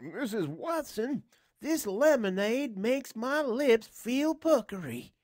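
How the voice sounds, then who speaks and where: A man's voice: very high voice, very animated, slow pace, a little mellow, dry recording, studio quality, pitched above the performer's own voice, exaggerated voice. A man voicing a pleasant husband in a chirpy, light, raised voice in a storybook reading. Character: pleasant husband on his patio.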